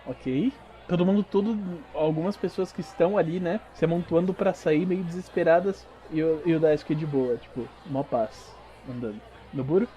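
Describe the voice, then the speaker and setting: A Brazilian man working as game master, narrating a tabletop RPG audio drama with dramatic pauses and informal asides. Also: a little high, mellow. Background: background music.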